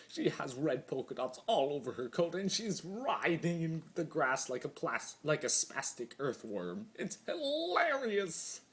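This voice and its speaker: A man performs a mad male spirit of chaos in a dramatic fan-fiction monologue. The delivery is theatrical and very animated, with a mid-range pitch that leaps and dips widely. The character sounds all-powerful yet trapped, swinging between manic glee and hushed brooding.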